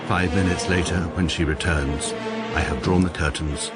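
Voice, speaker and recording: An actor playing a middle-aged lawyer narrating his own story in precise, deadpan radio-comedy style. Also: low-pitched, normal speed, loud music behind the voice, close to an everyday voice. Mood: wry. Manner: precise, dry, pedantic. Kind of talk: dry deadpan first-person narration.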